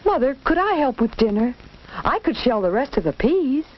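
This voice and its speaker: An eager, helpful little boy in a wholesome 1940s family story voiced by a woman narrator. The delivery is excited and animated, pitched up from her usual voice. The sound is a dull vintage film track. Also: normal speed. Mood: eager, polite. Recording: band-limited 1940s film soundtrack, background noise.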